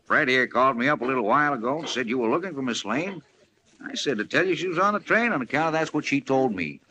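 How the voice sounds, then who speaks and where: An actor playing a breezy, wisecracking small-town reporter in a 1940s-style radio drama. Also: male voice, medium pitch, expressive, quick pace, bright, forceful tone. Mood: breezy, skeptical. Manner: breezy, informal. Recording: noisy old-time radio recording.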